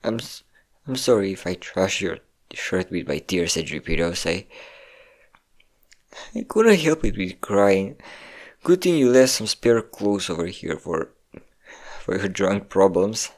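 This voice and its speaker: A man playing a depressed young man who tearfully confides in his best friend, halting and self-deprecating, in an intimate audio roleplay. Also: low voice, big pitch swings. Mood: tearful, hesitant. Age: young adult.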